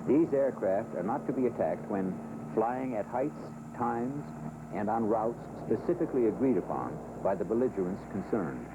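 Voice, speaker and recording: An American man reading scripted training film narration in a formal, measured, solemn manner. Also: on the low side, expressive, noisy background. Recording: 1970s film soundtrack with music. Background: background music.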